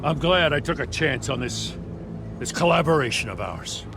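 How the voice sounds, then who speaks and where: Veteran game policeman: earnest, wary, clipped, fairly high male voice with varied intonation. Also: expressive, moderate pace, creaky, noisy background. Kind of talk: video game voice acting.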